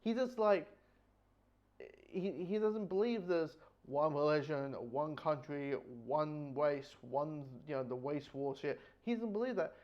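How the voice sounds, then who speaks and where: A man with a somewhat high-pitched voice talks through a casual review. He rambles and halts, restarting phrases and repeating himself as he goes. The recording is dull and lo-fi. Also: darkish tone. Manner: halting, false starts, repeats himself. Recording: dull lo-fi microphone sound.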